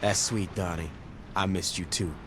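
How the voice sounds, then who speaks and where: A man's voice: deep voice, fairly even intonation, normal speed, lightly acted. An actor playing a tough, sarcastic male gang leader, terse and threatening, in a video game cutscene. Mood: sardonic, threatening. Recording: loud background noise.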